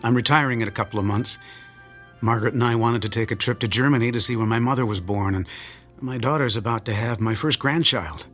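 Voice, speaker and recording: A man's voice: mid-range voice, fast, a bit bright, soft background music, natural voice. Worried older male patient, acted by a man. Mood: anxious, wistful. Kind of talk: radio drama acting.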